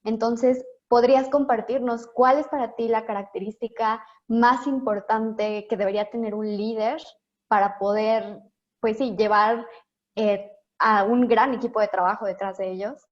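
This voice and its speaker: A woman's voice: on the high side, fairly even intonation, a little slow, muffled recording. A woman co-hosting an online interview, polite and a little hesitant, with filler words. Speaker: co-host, interviewer.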